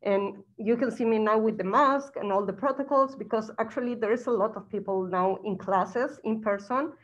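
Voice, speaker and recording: A young woman from Chile, a current graduate student speaking through a face mask on a video call, halting with many fillers and restarts. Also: on the high side. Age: young adult. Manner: halting, many uhs and restarts, non-native phrasing. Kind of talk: answering questions on a video call. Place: bright indoor room, wearing a face mask. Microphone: laptop webcam mic. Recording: dull lo-fi video call audio.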